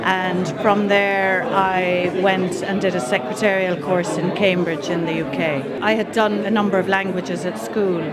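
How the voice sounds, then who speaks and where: A middle-aged woman with an Irish accent speaks in a steady, measured way about her work. Loud crowd noise fills the background. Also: medium pitch. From Ireland. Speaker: European Commission staff member. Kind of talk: interview answers.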